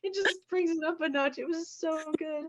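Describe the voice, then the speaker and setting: A woman's voice: very high voice, moderate pace. A woman in a casual group discussion who often giggles as she talks. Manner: giggly, laughs while talking. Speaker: table read cast member. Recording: dull, lo-fi audio.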